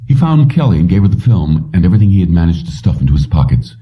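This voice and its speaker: A man's voice: deep voice, very animated, fast. A man reading science fiction prose aloud as a scripted audiobook narration. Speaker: audiobook reader. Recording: muffled, dull, distant-sounding.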